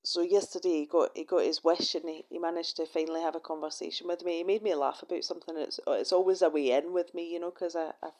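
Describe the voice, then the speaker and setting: A woman's voice: fairly low pitch, fairly even intonation, fast talker, hardly any pauses, darkish tone. A middle-aged Scottish woman talking casually to camera from her room in a shared house. She is wry and profane and often restarts her sentences. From Scotland. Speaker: vlogger.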